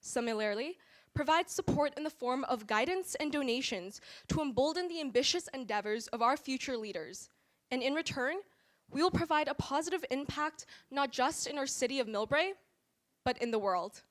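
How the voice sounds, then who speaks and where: A woman's voice: sits high, animated. A teenage girl giving an earnest, formal acceptance speech for a student award at an awards event. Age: teens. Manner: earnest, formal, measured delivery.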